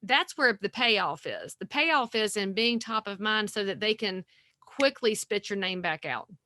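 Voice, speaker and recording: A woman, talking as a guest realtor on a podcast, folksy and energetic. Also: medium pitch, a little slow, dry recording, clean studio sound. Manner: energetic, folksy, animated storytelling. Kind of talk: podcast interview.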